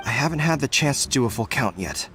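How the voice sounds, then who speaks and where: A man's voice: mid-range voice, expressive, quick pace, music in the background, close to an everyday voice. A man playing a dutiful young army general in a video game, giving earnest, formal military reports. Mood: serious, troubled.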